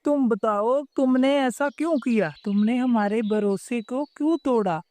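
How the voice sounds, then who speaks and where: A woman's voice: fairly high pitch, a little slow, mellow, lightly acted. A woman voicing a troubled, dutiful king and protective father, questioning with authority, in a cartoon story. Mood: upset, accusing.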